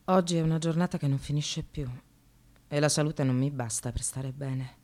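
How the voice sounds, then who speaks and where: An actress playing an exasperated, bitterly sarcastic receptionist in a dramatic radio monologue, shifting between muttering and outbursts. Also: female voice, sits low, normal speed, mellow, lightly acted. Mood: weary, menacing.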